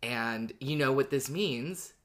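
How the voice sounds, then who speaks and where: A podcast co-host with a medium-pitched voice talks in a casual, conversational way. The delivery is playful and joking, like easy banter on a show. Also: takes it slowly.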